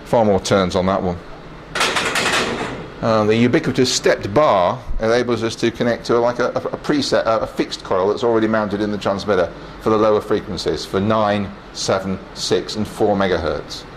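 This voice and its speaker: A man's voice: on the low side, normal speed, rough recording. Matter-of-fact male engineer over machinery noise. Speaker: transmitter engineer giving a station tour. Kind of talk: casual technical walkthrough, explaining equipment while operating it. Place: indoors in a transmitter hall. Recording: clear machinery and air-cooling noise.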